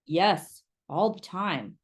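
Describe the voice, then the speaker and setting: A woman voicing a kindly old male neighbor who tells a grave story slowly and gently. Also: fairly low pitch, big pitch swings, slightly rough, pitched below the performer's own voice, exaggerated voice. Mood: grave, gentle.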